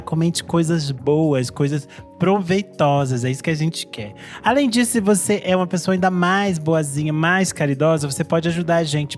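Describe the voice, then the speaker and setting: A man from Brazil co-hosting a music podcast, playful and laughing as he chats and wraps up. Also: on the high side. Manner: playful, laughing, drawn-out sign-off. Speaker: podcast co-host. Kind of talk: casual chat.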